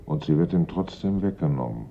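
A very deep, gravelly, brooding detective. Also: male voice, lively intonation. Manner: deliberate, earnest, reasoning. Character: dogged male police investigator, grave, brooding, suspicious. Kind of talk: German radio drama acting. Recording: dull old radio recording, noisy rumble.

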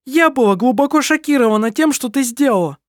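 An indignant young woman as the reader plays her screeches her protests in a very high voice. Her pitch leaps about, and the recording is close and dry. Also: animated, brisk, no room sound. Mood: outraged. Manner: screeching, outraged.

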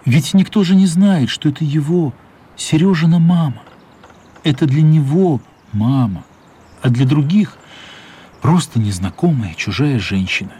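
A man's voice: a little high, measured pace, breathy edge. A man narrating a radio play as scripted, dramatic storytelling. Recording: light steady background noise.

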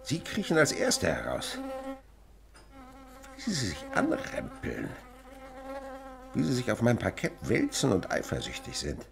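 An actor playing an impassioned, commanding French naturalist in a radio play, exclaiming and lecturing with emphatic pauses. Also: male voice, on the low side, wildly swooping pitch, vocal fry, crowd noise, heavily acted. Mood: excited wonder. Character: impassioned male French insect researcher, commanding, curious, mentor to villagers. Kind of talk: German radio play acting.

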